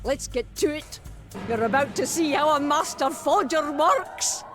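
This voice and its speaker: A high, wildly swooping voice of an ancient master forger woman in a video game. She is haughty and theatrical, mocking others as she draws out her words. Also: expressive, unhurried, music in the background. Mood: gleeful, showy. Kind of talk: video game cutscene acting.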